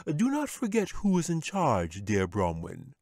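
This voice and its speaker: A man's voice: a little high, wildly swooping pitch, a little slow, gravelly, a little mellow, dry recording, pitched above the performer's own voice, heavily acted. Haughty wizard ruler, raised voice, dismissive; male storyteller puts him on; very dry.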